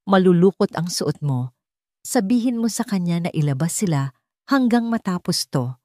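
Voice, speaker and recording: A woman narrator playing a haughty, hot-tempered rich bride with a Filipino accent, sharp and commanding, in an audio story. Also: fairly low pitch, animated, moderate pace, no room sound, natural voice. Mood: curt, controlling.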